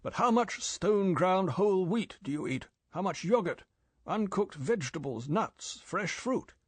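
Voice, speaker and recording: A man's voice: a little high, lively intonation, normal speed, dry recording, pitched above the performer's own voice. A man reading an audiobook, voicing M, a stern older male spy chief who lectures in a clipped, scolding way. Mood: stern lecturing.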